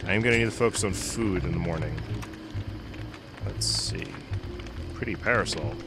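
Deep-voiced man, congested, over music. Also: big pitch swings, moderate pace. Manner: muttering to himself while playing. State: congested from a cold. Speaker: game commentator. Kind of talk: casual let's-play commentary. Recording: voice with loud background music. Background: background music.

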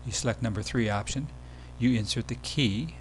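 Low-key man narrating, faint hum behind. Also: normal speed. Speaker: software demo presenter. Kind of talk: casual screencast tutorial narration. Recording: background hum and noise.

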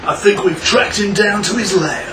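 Man acting a portentous British exposé reporter, high-pitched. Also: normal speed, natural voice. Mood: grandly dramatic. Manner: dramatic, portentous delivery. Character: earnest, self-important male exposé TV reporter. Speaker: investigative TV reporter. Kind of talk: mock investigative news narration. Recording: roomy voice with music behind.

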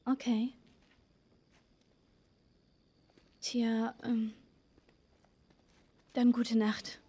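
A woman voicing a caring, candid teenage survivor girl in a German video game dub, gentle and hesitant in manner. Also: on the high side, level tone, drawn-out words, slightly rough, exaggerated voice. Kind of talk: German video game dubbing, naturalistic dialogue.

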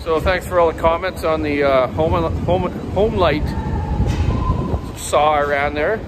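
Canadian man chatting over loud boat noise. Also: on the high side, a little slow. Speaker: vlogger, chainsaw shop owner. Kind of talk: casual vlog chat to camera. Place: open deck and windowed shelter of a ferry at sea. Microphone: phone at arm's length.

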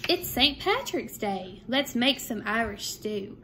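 A woman speaks like a primary teacher reading to young children. Her phrasing is measured and simple. She is recorded indoors at home, with some background noise. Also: on the high side, big pitch swings, bright, forceful tone. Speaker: second grade teacher. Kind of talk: children's read-aloud. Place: small room at home, indoors. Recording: clear background room noise.

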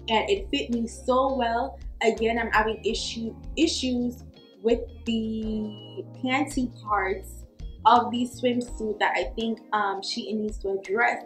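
A woman's voice: on the high side, reverberant. A young woman chatting casually as a fashion vlogger, emphatic and slangy, drawing words out for effect. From Jamaica. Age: young adult. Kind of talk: casual chatty lookbook commentary. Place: small furnished room at home, indoors. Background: faint music.

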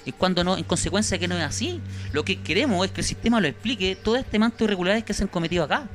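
A Chilean man with a slightly raised pitch speaks insistently into a handheld mic in a small studio. He stacks questions and repeats himself as he hunts for words. Faint music sits under the talk. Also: lively intonation, very fast, a bit bright. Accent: Chilean Spanish. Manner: insistent, indignant, repeats words while searching. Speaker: guest, victim's brother. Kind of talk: studio interview.

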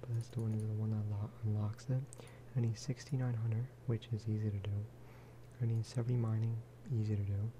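A man giving soft-spoken ASMR game commentary in a hushed, unhurried voice that at times slips toward a whisper. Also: little pitch movement, noisy background. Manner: unhurried, low-key, musing aloud. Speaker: gaming video creator.

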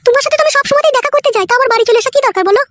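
A woman voicing a sharp-tongued middle-aged wife in a cartoon comedy, with a rural West Bengal accent, crude nagging delivery and an artificially pitched-up voice. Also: slight background noise. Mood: worried. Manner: crude, nagging, rapid-fire.

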